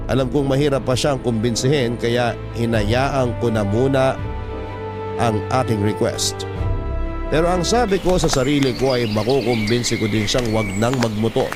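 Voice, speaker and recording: A man with a medium-pitched voice serves as narrator, reading a personal love story from a script. A clear music bed plays behind him as he tells it in the first person. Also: normal speed.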